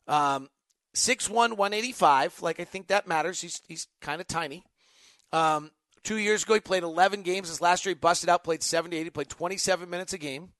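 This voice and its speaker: A male host giving unscripted sports talk, thinking aloud haltingly and throwing out rhetorical questions. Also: sits high, fairly bright tone, no echo. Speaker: host, sports radio announcer answering fan questions.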